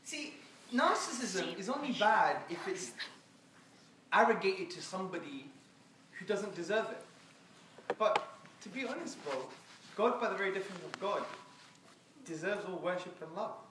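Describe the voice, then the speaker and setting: Male speaker answering questions live, earnest with jokes, high voice, far off in a roomy hall. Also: animated, moderate pace, long pauses, noisy background. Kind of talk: live Q&A talk. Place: lecture room. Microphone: phone recording from the audience. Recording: echoey room heard from afar.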